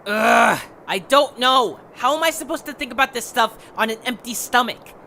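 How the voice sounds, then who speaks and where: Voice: mid-range voice, normal speed, sharp tone, natural voice. A performer voicing an apathetic young male creature, a sarcastic, whiny brother in an amateur audio drama. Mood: exasperated, sarcastic. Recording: noticeable background noise.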